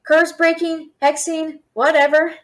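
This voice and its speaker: A woman playing a brash, fast-talking young female thief and failed alchemist in a fantasy audio roleplay, chattering with sarcastic, exasperated bluster. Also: sits high, flat delivery, fairly bright tone. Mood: grumbling, wry. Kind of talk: fantasy audio roleplay, addressing an unseen listener.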